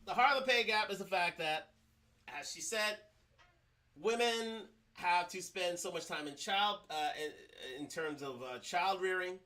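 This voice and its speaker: A male livestream host talks over the clips he plays, stopping and restarting his sentences often. His voice sits a little high and sounds bright. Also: poor audio. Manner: halting, many restarts and fillers. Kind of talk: casual commentary over played clips.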